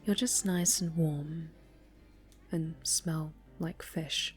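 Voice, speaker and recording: A woman playing a snobby but soft, easily flustered cat girl in an intimate ASMR roleplay, speaking coyly and haltingly, often breathy. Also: sits low, steady tone, faint music behind. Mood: drowsy, content. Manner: halting, stammering, coy, haughty.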